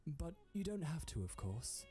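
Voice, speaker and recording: An actor playing a quiet, brooding young man in a visual novel game, terse and reluctant. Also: medium pitch, very animated, moderate pace, slightly rough. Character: quiet, brooding, reticent young male artist. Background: game music.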